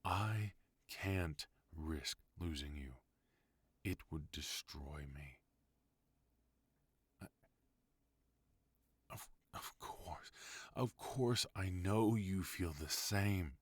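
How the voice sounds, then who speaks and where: A man voicing a protective mafia boss husband in an ASMR roleplay, talking low, firm and softly pleading. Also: extremely slow, pauses often, slightly rough, no room sound, full character voice. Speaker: voice actor.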